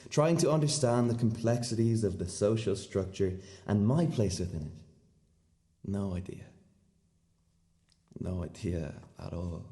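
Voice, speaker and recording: An actor playing a naive, earnest seventeen-year-old schoolboy in a radio play monologue, telling his story in clipped, deadpan fragments. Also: fairly low pitch. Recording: long hall-like reverb.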